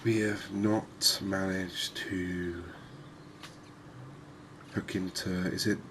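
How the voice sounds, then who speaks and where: A man giving relaxed, unhurried ASMR-style commentary on a video game, musing conversationally as he goes. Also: low voice. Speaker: gaming video host. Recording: clearly noisy background ambience.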